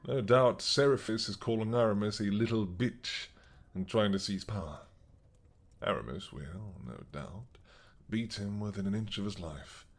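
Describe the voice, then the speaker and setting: Doting old dragon king, played by a man; low and husky, possessive, gently teasing. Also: full character voice. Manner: slow, tender, teasing. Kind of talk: audio roleplay acting.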